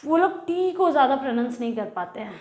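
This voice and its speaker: A woman's voice: high voice, lively intonation, fast talker, a little mellow. A woman teacher giving a lesson in Hindi, mixing in English words as she explains. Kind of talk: bilingual classroom lecture. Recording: echoey room.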